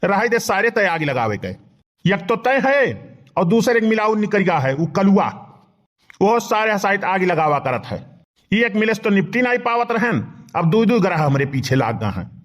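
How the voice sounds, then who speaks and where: A man's voice: high voice, sing-song, moderate pace. A man voicing a teasing village fellow in a comedy cartoon, speaking colloquially with an Awadhi accent. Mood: irritated.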